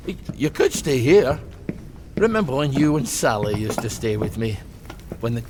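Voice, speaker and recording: Erratic gruff older man, wildly swinging pitch. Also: a little hiss or hum. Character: unstable older soldierly man talking to an imaginary woman. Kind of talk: video game voice acting.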